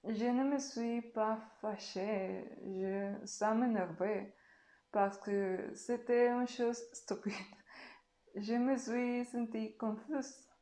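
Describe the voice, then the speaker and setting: A woman from Mexico, a native Spanish speaker with a Mexican Spanish accent, answering interview questions slowly and carefully. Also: medium pitch, mellow, roomy sound. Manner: slow, careful speech with pauses.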